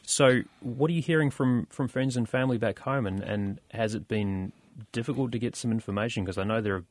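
A man hosting a news interview, asking questions in a measured, calm way. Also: medium pitch, no echo. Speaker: host.

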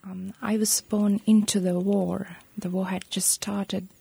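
Soft, gravelly Sri woman. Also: mid-range voice, level tone. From Sri Lanka. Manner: soft, halting, breathy delivery with many pauses. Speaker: guest. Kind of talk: personal account in interview.

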